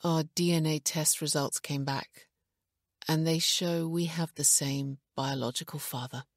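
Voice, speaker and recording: A woman voicing a troubled, grave husband in an audiobook, speaking in a subdued, flat way. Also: low voice, measured pace, no echo, studio quality. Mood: flat, reluctant. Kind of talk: audiobook character dialogue.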